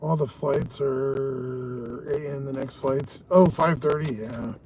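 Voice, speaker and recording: A man's voice: medium pitch, slow, darkish tone, a little hiss or hum, low-quality recording. A man on a casual live stream, chatting with viewers from his car and swearing often. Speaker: live streamer doing delivery driving. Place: inside a car.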